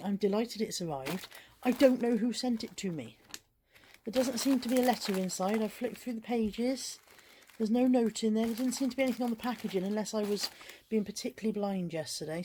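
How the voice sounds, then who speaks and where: A woman with a gravelly voice, tired and hot, chatting casually to camera for her vlog. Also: mid-range voice, a little mellow, dry recording. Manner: chatty, self-deprecating, rambling. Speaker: vlogger. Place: parked car.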